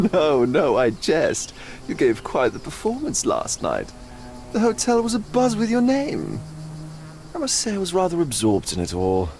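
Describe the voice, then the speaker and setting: An actor playing a genteel, theatrical middle-aged art dealer in a video game, florid and teasing with a sly, probing edge. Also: male voice, fairly high pitch, darkish tone. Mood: playful, flattering. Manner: florid, teasing, drawn-out phrasing. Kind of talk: video game cutscene acting.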